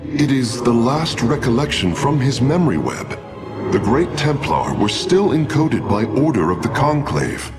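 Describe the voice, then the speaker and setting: Alien engineer for a sci-fi game: scholarly, exact, mid-range voice, animated delivery, loud music behind. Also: male voice, mid-range voice, measured pace. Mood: hesitant, explanatory. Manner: precise, technical, formal. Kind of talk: dramatic video game voice acting.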